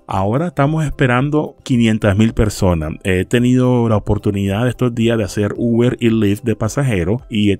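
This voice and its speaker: A man's voice: fairly low pitch, darkish tone, dry recording. A man hosting a casual vlog, chatty and friendly, calling his viewers friends and often restarting phrases. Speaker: YouTube host giving rideshare and delivery tips. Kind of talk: casual informative vlog talk over stock footage. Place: lives in South Florida.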